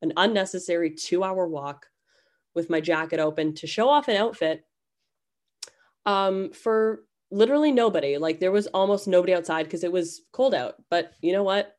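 Low-voiced woman, nasal from a cold. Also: normal speed, darkish tone. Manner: chatty, self-deprecating, rambling. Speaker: podcast host. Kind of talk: casual solo podcast intro.

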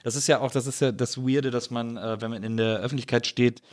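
A man's voice: medium pitch, fairly even intonation, a little fast, no room sound, studio quality. A man hosting a casual podcast interview, talking in a reflective, somewhat tentative way. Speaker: podcast host.

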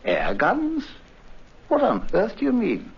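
Earnest British gentleman, wildly swooping pitch. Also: wildly swooping pitch, slow, vocal fry, husky, exaggerated voice. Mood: alarmed, concerned. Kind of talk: 1950s radio drama acting. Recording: muffled, noisy 1950s radio recording.

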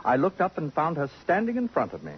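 A vain, middle-aged man of letters in 1950s radio drama. His phrasing is elaborate and cutting, with very animated pitch. The recording is muffled, with clear background noise. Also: quick pace. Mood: wistful, self-important. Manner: pompous, precise, sardonic, elaborate phrasing. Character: vain, acid-tongued middle-aged male columnist, haughty and cultured.

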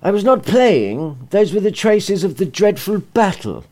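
A young girl pleads with her mother, earnest and lively, as a man reads her lines. His tone rises and falls a lot. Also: high-pitched, a little slow, heavily acted.

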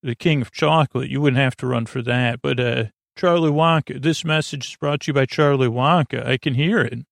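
Creaky-voiced man rambling soothingly. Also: medium pitch, no room sound, clean studio sound. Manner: meandering, halting, digressive, absent-minded. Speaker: podcast host. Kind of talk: rambling, soothing bedtime monologue.

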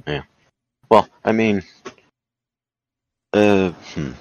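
A man's voice: fairly low pitch, very slow, no echo. A man in casual podcast chat as co-host, hesitant and prone to casual swearing.

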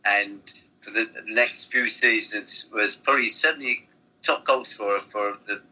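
An older man being interviewed, with a very bright tone and a husky edge to his voice. He reminisces in long, rambling anecdotes. He talks like a former football manager looking back. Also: fairly low pitch, a little slow, low-quality recording.

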